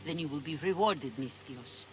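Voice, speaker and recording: An actress playing a proud, commanding pirate leader in a video game, speaking formally and imperiously. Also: female voice, deep voice, normal speed, noisy background, natural voice. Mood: stern, commanding. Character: commanding, proud female pirate leader who covets treasures. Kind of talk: video game cutscene dialogue acting.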